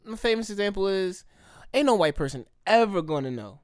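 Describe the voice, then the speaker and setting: A man's voice: sits high, animated, brisk, dry recording, clean studio sound. A man, chatting casually as a podcast co-host, slangy and loose. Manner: slangy, frequent "you know what I'm saying". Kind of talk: casual chat.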